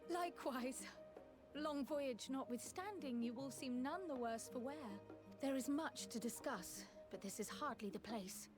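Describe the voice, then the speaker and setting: A composed, scholarly heroine from a fantasy game. Her voice is on the high side, and she speaks politely and articulately, with self-assurance. Music runs clearly underneath. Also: measured pace. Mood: friendly, playful. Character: small, bright, self-assured scholarly female leader. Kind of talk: scripted fantasy game dialogue. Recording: orchestral music behind the voice.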